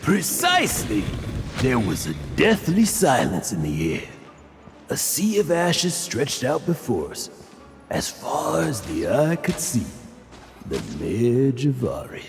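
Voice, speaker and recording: A man's voice: medium pitch, huge pitch swings, slow, gravelly, in a large hall, full character voice. An actor playing a boastful middle-aged adventurer who grandstands about his own legend in a video game, with game music playing behind him. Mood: dramatic storytelling. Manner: pompous, grandstanding.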